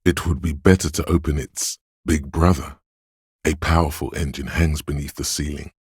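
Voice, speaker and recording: A solemn, dryly wry narrator inside a detective's head in a video game. The voice sits extremely low and is thick with husky gravel. It moves in measured, literary phrases with deliberate pauses, recorded very dry and close. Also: male voice, fairly even intonation, no echo, heavily acted. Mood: measured, matter-of-fact. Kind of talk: scripted game narration, second person.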